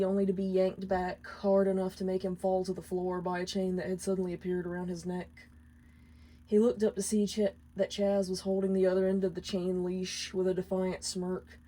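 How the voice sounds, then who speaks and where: A woman's voice: medium pitch, mellow. A woman reading scripted fiction aloud as a storyteller. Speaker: fan fiction reader.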